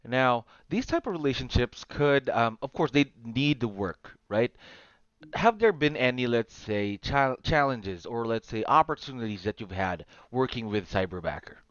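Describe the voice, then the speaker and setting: A man's voice: medium pitch, expressive, moderate pace, fairly bright tone, no echo, lo-fi sound. A man hosting a podcast interview, upbeat and encouraging, often repeating his phrases. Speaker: podcast host. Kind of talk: interview questions.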